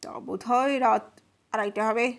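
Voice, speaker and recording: A woman's voice: a little high, fairly even intonation, moderate pace, natural voice. A woman voicing a cautious male neighbour testifying in a courtroom audio drama, subdued and hesitant, hurrying through explanations.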